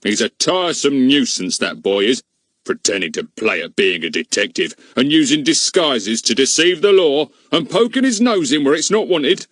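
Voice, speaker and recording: A pompous, short-tempered village policeman, as a man puts him on for a storybook reading. He blusters and scolds, pitched higher than the reader's usual speech, with the words swinging up and down and sounding a little set back. The sound is dry and close. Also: fairly bright tone, dry recording. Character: pompous, irritable middle-aged village policeman.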